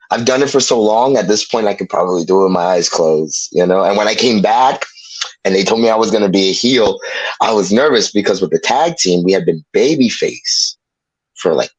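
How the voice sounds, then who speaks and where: A man from Puerto Rico chatting casually as an interview guest, telling stories in an animated way with quoted asides. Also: on the low side, dry recording, low-quality recording.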